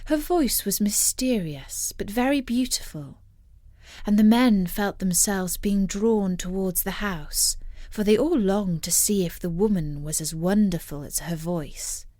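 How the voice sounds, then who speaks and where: Woman reading a story, slow and really expressive, dry close sound. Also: medium pitch, no room sound. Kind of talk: scripted storybook narration.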